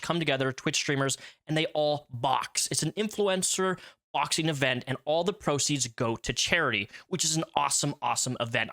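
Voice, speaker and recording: Male YouTube commentator, emphatic, bright edge to the voice, dry close sound. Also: a little high, no room sound. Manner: emphatic, repeats words for stress. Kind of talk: drama commentary video.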